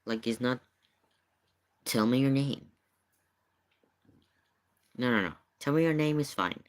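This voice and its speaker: A man's voice: mid-range voice, slow. A man giving casual, informal reaction commentary as a streamer.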